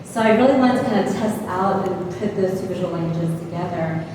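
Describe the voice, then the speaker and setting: Woman with a slightly low voice, speaking as an artist in a gallery. She gropes for words and leans on "like" and "right?". She sounds far from the mic, in a hall with a long ring. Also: fairly quick. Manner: searching and hesitant, with many likes and rights. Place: gallery space, indoors. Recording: echoey hall from far off, noisy.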